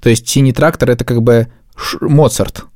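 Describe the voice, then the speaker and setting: A man's voice: mid-range voice, fairly even intonation, a little fast, darkish tone, dry recording, studio quality. A man in his thirties hosting a podcast, talking in a casual, conversational way. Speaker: podcast host. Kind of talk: casual chat.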